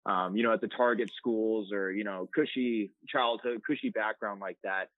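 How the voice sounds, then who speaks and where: A man's voice: fairly low pitch, steady tone, normal speed, dry recording. Young man, podcast guest, phone-line sound, dry, rambling, candid. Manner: rambling, filler-heavy, candid. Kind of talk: casual interview storytelling.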